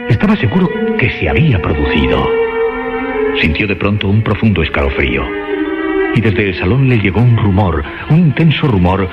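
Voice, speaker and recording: A man with a very low voice narrates horror in suspenseful, measured phrases. His pitch moves expressively over background music, and the recording is rather muffled. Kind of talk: dramatic scripted horror narration. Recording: muffled lo-fi radio drama recording.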